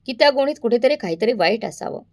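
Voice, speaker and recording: A woman's voice: a little high, expressive, fast, vocal fry. A woman narrating a scripted story in a dramatic, suspenseful way, addressing listeners directly.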